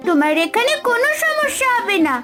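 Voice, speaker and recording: A woman's voice: very high voice, sing-song, fairly quick, music in the background. A woman voicing a kind, caring young village girl in a cartoon story, speaking earnestly. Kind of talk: cartoon voice acting.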